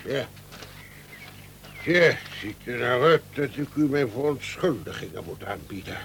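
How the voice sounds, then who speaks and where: An actor playing a pompous, effusive upper-class official with a florid manner in a Dutch radio play. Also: male voice, medium pitch, lively intonation, unhurried, gravelly, fairly bright tone. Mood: effusive, impressed. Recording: noisy old radio play recording.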